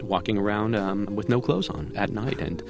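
A Cuban-born man with no accent in English, a musician answering radio interview questions in a relaxed storytelling way with small restarts. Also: lowish voice, moderate pace, gravelly. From Cuba. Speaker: musician guest. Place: remote studio.

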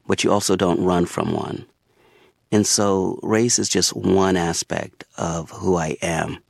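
This man's voice sits very low and grinds with heavy fry. It has a husky edge, and the sound is close and dry. He answers interview questions in a slow, thoughtful, deliberate way. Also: no echo. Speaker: author and interview guest.

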